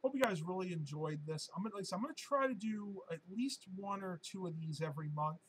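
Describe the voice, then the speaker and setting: A man with a hoarse, fading voice hosts a casual live-stream Q&A, answering viewer questions in a chatty, rambling way with plenty of ums and uhs. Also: high-pitched, animated, quick pace, a little hiss or hum. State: hoarse, losing his voice.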